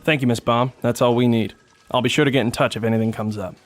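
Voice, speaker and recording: Man playing a deadpan noir detective, music behind. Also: medium pitch, fast talker, close to an everyday voice. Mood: businesslike. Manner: deadpan, terse. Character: hard-boiled, world-weary male police detective narrating his case. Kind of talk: noir radio drama narration and dialogue.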